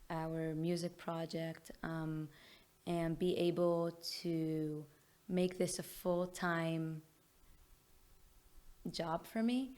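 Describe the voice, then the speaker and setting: A woman from Israel with an Israeli accent, a singer-songwriter answering interview questions thoughtfully with frequent pauses. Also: on the low side, darkish tone.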